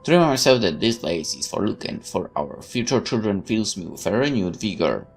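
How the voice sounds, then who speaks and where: Very low-voiced woman reading game narration. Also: very animated, brisk, a little mellow, soft background music. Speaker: let's play host. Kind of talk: reading visual novel narration aloud with asides.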